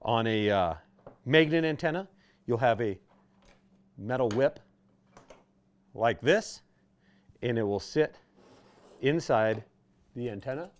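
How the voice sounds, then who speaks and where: A man's voice: mid-range voice, animated, slow, pauses often. A man with an American accent giving an informal, conversational product demonstration as a shop's expert presenter. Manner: conversational, explanatory, checking in with "right?".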